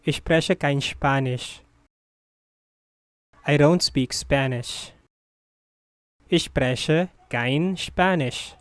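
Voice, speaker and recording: A teacher's voice slowly repeating short phrases in a language drill, each one said clearly and evenly. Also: medium pitch, dry recording. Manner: deliberate, evenly paced repetition of short phrases.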